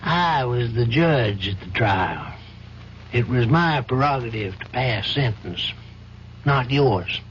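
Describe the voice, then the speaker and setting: An actor playing an older, compassionate judge in an old-time radio drama, reasoning in a measured, probing, paternal manner. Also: male voice, mid-range voice, lively intonation. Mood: earnest, admonishing. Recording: dull, faintly noisy old radio recording.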